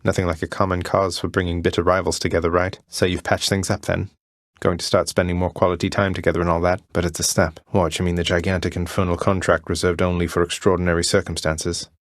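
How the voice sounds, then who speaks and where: A young rebel prince of the underworld played by a man speaks in a deep British voice. He is courteous but wry, delivering his game dialogue with casual understatement. The sound is dry, with no room around it. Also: flat delivery, fast, no echo, natural voice. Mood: wry, sincere. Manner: dry, understated, conversational politeness. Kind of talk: video game character dialogue.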